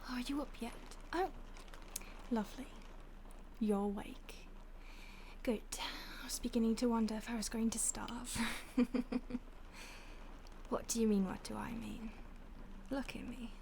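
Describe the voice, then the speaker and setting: A woman voicing a polite, predatory female vampire who toys with her captive in soft, often whispered, mock-polite speech. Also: fairly high pitch, steady tone, a little slow, vocal fry, pauses often, slight background noise. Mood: teasing. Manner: teasing, mock-polite, savoring. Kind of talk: audio roleplay.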